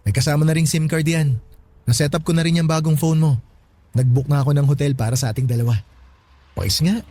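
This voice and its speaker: Casting: man as two-timing young husband, smooth talker, slightly high yet mellow voice, dry close sound, radio drama. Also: fairly even intonation, fairly quick, dry recording, close to an everyday voice. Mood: fond, hushed. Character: cheating young husband, smooth-talking, secretive lover. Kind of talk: Filipino radio drama acting.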